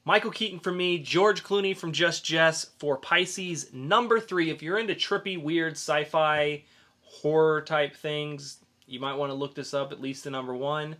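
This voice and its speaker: A man's voice: fairly high pitch, measured pace, bright, forceful tone. A man hosting a podcast, casually reviewing in an informal, rambling way with some swearing. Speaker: podcast host and comic reviewer.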